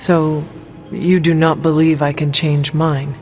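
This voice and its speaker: An actor playing a solemn, reserved warrior in a video game, speaking formally. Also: male voice, fairly high pitch, flat delivery, unhurried, lightly acted. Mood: guarded, grave. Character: solemn, reserved male demon-hunting warrior. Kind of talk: video game character dialogue. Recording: echoing hall, phone-like audio, faint music.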